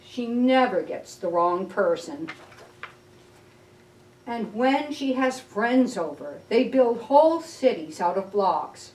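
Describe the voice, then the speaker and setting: A woman voicing an aggrieved, boastful six-year-old boy in a picture book, complaining in a whiny, defiant, childish way. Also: mid-range voice. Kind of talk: picture-book read-aloud. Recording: roomy echo, distant mic.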